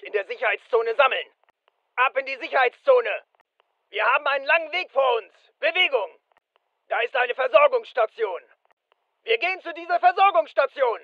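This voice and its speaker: Hoch schreienden, drängenden Soldaten. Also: male voice, very high-pitched, dry recording, highs cut off. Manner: clipped, commanding, urgent. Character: alert male soldier barking urgent battlefield callouts. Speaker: voice actor in a dubbed military shooter. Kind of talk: military combat callouts, short barked lines.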